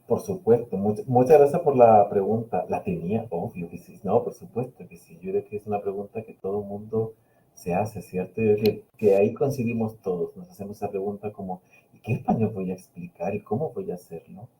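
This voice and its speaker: A man's voice: lowish voice, fairly quick, warm tone, slight background noise, low-quality recording. A middle-aged man from Spain, a Catalan speaker whose Peninsular Spanish has blended with Chilean Spanish, answering questions at a webinar in a reflective, hesitant way with many fillers. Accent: Peninsular Spanish mixed with Chilean Spanish. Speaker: invited speaker, university linguistics professor. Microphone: video call.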